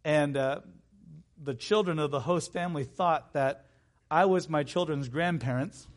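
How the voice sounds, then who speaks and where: Male preacher, thirties. High-pitched voice, emphatic sermon delivery with repeated phrases and chatty asides. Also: measured pace. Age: 30s.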